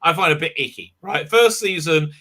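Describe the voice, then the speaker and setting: A man with a somewhat high, very bright voice hosts a casual online stream. He rambles, stops and restarts, with plenty of "uh" and "right?". He talks into a computer mic on a video call. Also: moderate pace. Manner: rambling, full of fillers and restarts. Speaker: livestream host. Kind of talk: casual chat.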